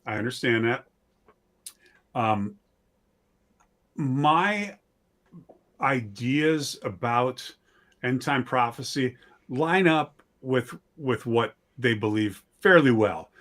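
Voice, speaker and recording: Middle-aged man chatting casually, medium pitch. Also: lively intonation, slow pace, long pauses, fairly bright tone. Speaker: interview guest, audio drama writer. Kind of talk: casual chat.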